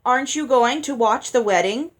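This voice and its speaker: A woman voicing a clingy, stubborn girl in a storybook, pleading loudly and plainly. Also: on the high side, moderate pace, voice pushed up, lightly acted. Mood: insistent, clingy. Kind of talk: audiobook character voice.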